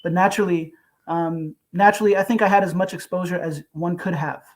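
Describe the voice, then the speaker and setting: Young guy, pretty high voice, thoughtful careful answers on a panel. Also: fairly even intonation. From United States. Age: young adult. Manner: articulate, measured and reflective. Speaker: co-author, guest.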